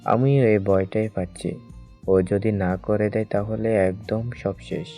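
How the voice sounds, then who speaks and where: A young lover, played by a man in an audio drama. The voice is low and soft-edged, and the lines come out earnest and conversational. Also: steady tone, takes it slowly, soft background music. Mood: worried. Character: young man, eager secret boyfriend on the phone. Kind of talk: dramatised audio story dialogue.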